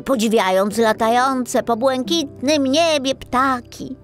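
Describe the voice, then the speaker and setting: Sweet little star played by a woman, storybook teller, slow pace, very animated. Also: very high-pitched, full character voice. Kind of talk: children's audiobook storytelling. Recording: music behind the voice.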